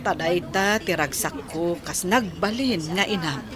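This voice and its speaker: A woman's voice: fairly low pitch, unhurried, close to an everyday voice. An actress playing a loving, proud mother of a grown son in a radio drama. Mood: proud, tender. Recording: crowd noise behind.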